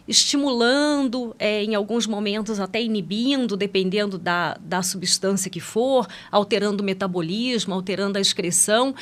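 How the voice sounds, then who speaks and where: A woman's voice: a little high, fairly bright tone. A Brazilian Portuguese-speaking woman, a guest medical expert explaining things conversationally in a podcast interview, often adding little check-in tags. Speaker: guest expert, pediatric endocrinologist.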